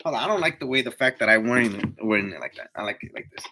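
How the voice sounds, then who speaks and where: A man's voice: medium pitch, lively intonation, racing, background noise. A man in his twenties chatting casually to live viewers in a slangy, motivational way, repeating himself for emphasis. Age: 20s. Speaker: livestream host, tree climber.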